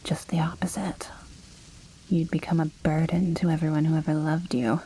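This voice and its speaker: A woman playing an imperious, menacing vampire noblewoman in an ASMR roleplay, speaking in a soft, breathy half-whisper with cool, formal condescension. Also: low voice, little pitch movement, poor audio. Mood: stern, menacing. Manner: slow, measured, coolly condescending, formal. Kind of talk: scripted ASMR roleplay monologue. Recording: rain and thunder ambience behind. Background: rainstorm with thunder.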